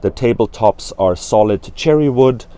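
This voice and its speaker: Man with a low, mellow voice. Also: moderate pace, clear, resonant tone. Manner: hesitant with many fillers, explaining while moving around a van. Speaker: company representative at a trade fair stand. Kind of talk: product walkthrough. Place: large exhibition hall, inside a camper van. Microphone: lapel mic.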